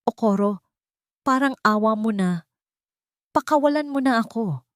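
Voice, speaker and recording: A woman's voice: a little high, lively intonation, unhurried, no room sound, voice pushed up. Downtrodden servant heroine for audio fiction, voiced by a female narrator, frightened, imploring, close dry sound. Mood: pleading. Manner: bitter, pleading. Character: fearful, pleading oppressed young servant woman. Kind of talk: audio story character dialogue.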